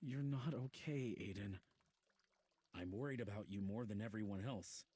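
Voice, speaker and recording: A man voicing a gentle, concerned man in a visual novel game, with a husky voice and an earnest, hesitant manner. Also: on the low side, moderate pace. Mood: worried, tender.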